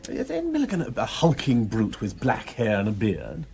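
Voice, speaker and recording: An actor playing a flippant, breezy aristocratic amateur sleuth in a radio drama, with an upper-class English accent. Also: male voice, lowish voice, wildly swooping pitch, moderate pace, vocal fry, dark tone, heavily acted.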